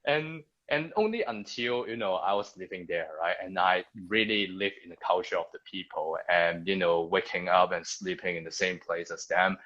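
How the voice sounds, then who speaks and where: A man from Hong Kong speaking English with a Hong Kong accent, a Cantonese native speaker, telling his story as a guest in a halting way with many restarts and tag questions. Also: low-pitched, bright, forceful tone, lo-fi sound. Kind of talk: conversational storytelling.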